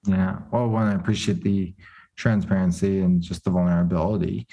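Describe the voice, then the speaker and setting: Male host; low-ish husky voice; gentle, probing, loose fillers. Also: flat delivery, brisk. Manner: gentle, probing, many "you know" fillers. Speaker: podcast host. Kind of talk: interview questions.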